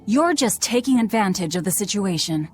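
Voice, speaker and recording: A woman's voice: medium pitch, expressive, normal speed, faint music behind, natural voice. A woman playing a cynical, bossy young female demon in a video game, curt and sardonic. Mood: stern, sardonic. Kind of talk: video game cutscene acting.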